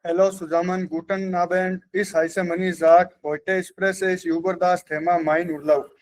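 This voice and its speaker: A man's voice: high voice, level tone, normal speed. A man, a language student, carefully giving a prepared class presentation and stumbling on longer words.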